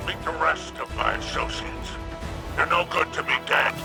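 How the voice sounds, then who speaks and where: An actor voicing a surly, greedy male alien crime boss with a gravelly growl in a video game. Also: on the high side, steady tone, normal speed, loud music behind the voice. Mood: grudging, scheming. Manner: grudging, bargaining menace.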